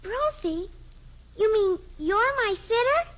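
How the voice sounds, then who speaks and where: A woman playing an excitable, stubborn little girl in a 1950s radio sitcom, blunt and childish. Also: very high voice, takes it slowly, full character voice. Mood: excited, eager. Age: child character. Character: eager, stubborn little girl, the kid sister. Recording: noisy, muffled old radio recording.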